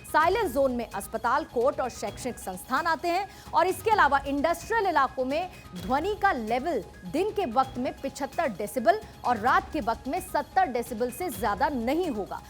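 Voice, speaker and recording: A woman's voice: sits high, big pitch swings, moderate pace, hardly any pauses, soft background music. A woman news presenter delivering a scripted explainer in a broadcast narration style.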